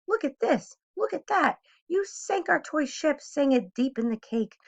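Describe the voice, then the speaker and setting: A woman's voice: sits high, very animated, a little slow, vocal fry, highs cut off, voice pushed up, heavily acted. A woman voicing an indignant, bossy male pet fish from a children's storybook, scolding and protesting emphatically. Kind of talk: children's storybook read-aloud.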